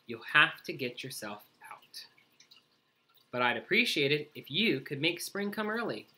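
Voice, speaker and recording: Old male animal sage, man reader acting: storybook advisor, weary, theatrical, musing, animated pitch. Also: unhurried. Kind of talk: children's storybook character voice.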